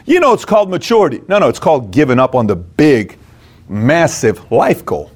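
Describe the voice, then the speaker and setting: A man's voice: mid-range voice, big pitch swings, moderate pace. A man speaking as a motivational business coach, conversational yet emphatic, using punchy short phrases and rhetorical questions.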